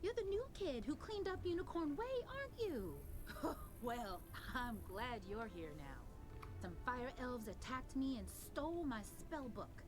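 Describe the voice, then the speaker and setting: An excitable young wizard woman. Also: high voice, a little slow, over music. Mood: eager. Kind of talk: game voice-over dialogue.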